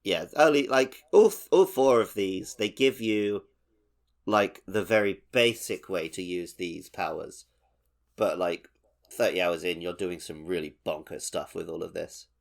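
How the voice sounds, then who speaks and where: A man's voice: low voice, a little slow, gravelly. A man co-hosting a podcast, chatting casually about a video game. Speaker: podcast co-host.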